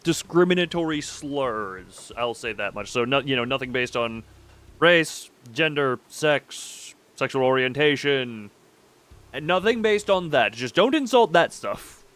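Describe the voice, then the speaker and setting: A man's voice: mid-range voice, lively intonation, a bit bright. Guy streaming a game, chatting casually, stop-start, voice jumps all over. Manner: halting, informal, mildly sweary. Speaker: live streamer. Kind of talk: casual game-stream commentary, reading dialogue aloud.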